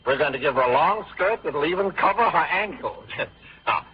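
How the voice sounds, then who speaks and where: An actor playing a fussy, prudish billboard defacer, muttering and self-satisfied, in an old-time radio comedy. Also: male voice, a little high, expressive, normal speed, husky. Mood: gleeful mischief. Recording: dull vintage radio recording.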